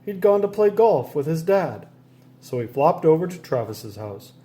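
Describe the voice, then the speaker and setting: Animated, high-voiced man reading a storybook. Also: normal speed. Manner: playful, stressing sound words. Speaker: storybook reader. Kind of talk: animated read-aloud narration for children.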